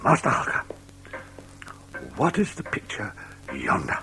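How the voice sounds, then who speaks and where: An actor playing a mysterious, courteous old Punch and Judy showman with halting, archaic, theatrical speech in an audio drama. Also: fairly low pitch, huge pitch swings, over music, low-quality recording. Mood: urgent, fearful.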